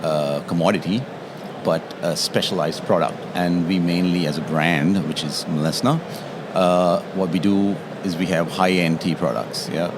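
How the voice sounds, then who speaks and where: Sri man, very low voice, guest expert on a noisy show floor. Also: steady tone, moderate pace. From Sri Lanka. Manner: explanatory, tags statements with "yeah?". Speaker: tea taster and guest. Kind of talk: interview at a trade show.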